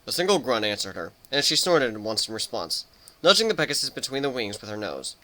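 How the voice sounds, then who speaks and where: A man's voice: mid-range voice, sing-song, a little fast, a crowd in the background, rough recording. A man narrating a story as a scripted dramatic reading.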